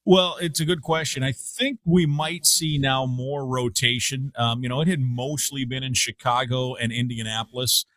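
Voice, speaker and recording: A man's voice: medium pitch, fairly even intonation, moderate pace, hardly any pauses, a bit bright, no room sound. A middle-aged man who grew up in Iowa, talking as a guest on a sports show. He works as a radio play-by-play broadcaster and speaks in a mid-range voice. He thinks aloud, with plenty of fillers and restarts. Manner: thoughtful, many fillers and restarts. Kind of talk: casual sports talk.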